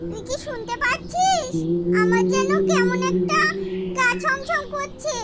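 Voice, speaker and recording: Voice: very high-pitched, wildly swooping pitch, a little slow, full character voice. A performer voicing a small, earnest, scared village child in a horror cartoon. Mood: spooked. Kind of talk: cartoon horror story acting. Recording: echoey, distant, loud background noise.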